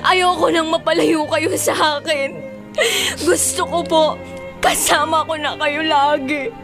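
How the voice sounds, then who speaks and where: Crying filipina teen daughter in a radio soap, high girly voice, begging, faint music behind. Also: fairly even intonation, takes it slowly. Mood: tearful pleading. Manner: emotional, pleading, polite. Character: tearful, remorseful young student daughter pleading with mother. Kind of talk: Filipino radio drama acting. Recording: music under the voice.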